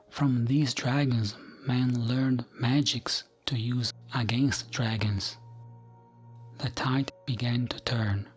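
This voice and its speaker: A man narrates fantasy lore in a gentle ASMR style. His delivery is hushed and soothing, taken very slowly at a medium pitch. Music plays softly behind his voice. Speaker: narrator of gaming lore videos.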